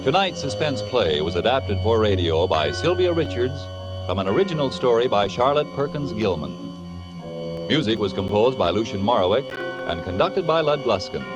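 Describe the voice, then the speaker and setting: Animated 1940s radio announcer man. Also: lowish voice, normal speed. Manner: polished, emphatic. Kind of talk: 1940s radio announcing, scripted pitch and credits. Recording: old radio recording, music behind.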